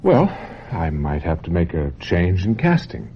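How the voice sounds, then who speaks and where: Deep-voiced, domineering film director. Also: male voice, big pitch swings. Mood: coaxing, controlling. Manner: theatrical, insistent, condescending, with deliberate emphatic phrasing. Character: older, domineering male film director, smooth and manipulative. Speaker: actor in a radio drama. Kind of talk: radio drama acting. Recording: dull, noisy old radio drama recording.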